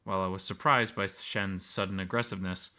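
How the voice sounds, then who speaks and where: A man's voice: deep voice, fairly even intonation, a little fast, sharp tone. A man reading scripted first-person story narration as the narrator.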